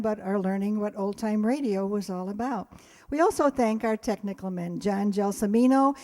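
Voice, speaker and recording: A woman's voice: mid-range voice, dark tone. A woman giving informal, rambling closing announcements and thanks to an audience as a community players' spokesperson. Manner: chatty, unscripted, rambling. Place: live before an audience.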